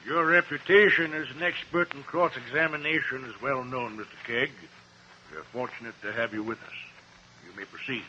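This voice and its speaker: An actor in a 1950s radio drama playing a judge, formal, courteous and authoritative. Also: male voice, mid-range voice, steady tone, brisk, bright, forceful tone. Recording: muffled vintage 1950s radio recording.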